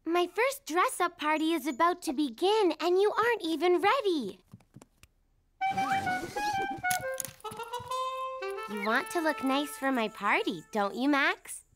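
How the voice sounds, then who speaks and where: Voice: high voice, very animated, moderate pace, hardly any pauses. An actor voicing a bossy, organised young girl bunny in a children's cartoon, speaking in a prim, instructive way. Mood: bossy, chiding. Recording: music bed behind dialogue.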